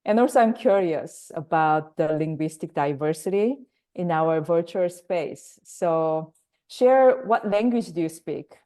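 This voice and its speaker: A woman whose first language is Korean, hosting and introducing speakers on a video call webinar, measured with pauses. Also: fairly low pitch, animated, warm tone. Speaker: workshop host and facilitator.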